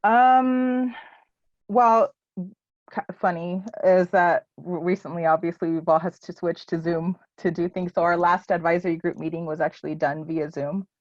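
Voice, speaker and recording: Lowish-voiced woman on a video call, lots of ums, dry muffled sound. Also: fairly even intonation, moderate pace, no echo. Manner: hesitant, many ums and restarts. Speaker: presenter answering questions. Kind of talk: casual presentation at a virtual symposium.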